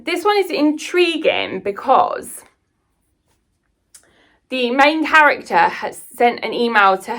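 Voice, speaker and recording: A woman with a mid-pitched voice talks in a casual vlog style. She is chatty and informal, with an enthusiastic way of sharing recommendations as an author and book vlogger. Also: vocal fry, a bit bright. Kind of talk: casual book chat to camera.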